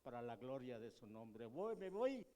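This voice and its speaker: A man's voice: mid-range voice, sing-song, moderate pace, warm tone. An older man from Guatemala, a visiting guest, gives a fervent, formal greeting to a congregation in a large church hall. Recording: long church reverb.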